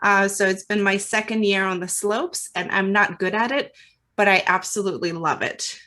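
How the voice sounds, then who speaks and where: A woman in her thirties, born in Russia with Russian as her first language, introducing herself on a roundtable with many pauses and fillers. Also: medium pitch, fairly even intonation, sharp tone. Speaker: panelist. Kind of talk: video call roundtable.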